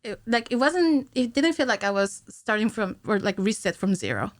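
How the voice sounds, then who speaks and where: Female podcast guest, thirties, medium pitch, informal and conversational, dry studio sound. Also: expressive, moderate pace, no room sound. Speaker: podcast guest, cinematic animator. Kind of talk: casual interview chat. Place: small indoor podcast studio. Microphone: studio mic on a stand, headphones.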